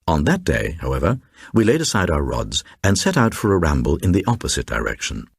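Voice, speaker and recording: A very deep male voice, playing a grave traveller recounting an eerie radio tale. The delivery is deliberate and suspenseful, swinging widely in pitch, with a distinctly husky grain. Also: wildly swooping pitch, no echo, studio quality, full character voice. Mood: measured, reflective. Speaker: narrator. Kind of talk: dramatised first-person reading of a supernatural horror tale for radio.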